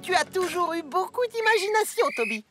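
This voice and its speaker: High, cheerful young tank engine. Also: male voice, very animated, a little fast. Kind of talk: children's cartoon dub. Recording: music behind the voice.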